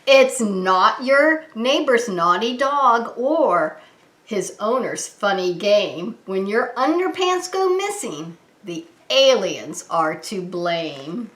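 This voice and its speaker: A woman preschool teacher reading a children's story aloud in an animated, sing-song manner, talking to small children. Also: mid-range voice, slow pace. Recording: echoey room.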